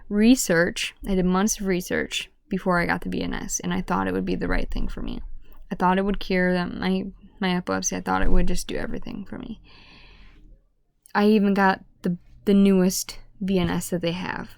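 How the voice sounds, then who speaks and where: A woman with a hoarse, deep voice that often drops to a whisper, talking casually to camera in a halting way. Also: flat delivery, no echo. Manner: halting, with restarts and stutters. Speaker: vlogger.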